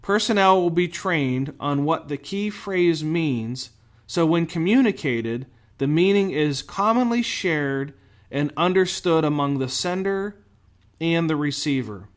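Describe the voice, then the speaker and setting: A man delivering scripted instructional narration as a show host, speaking deliberately with clear enunciation and emphatic phrasing. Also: a little high, animated. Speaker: host of a firefighter safety show.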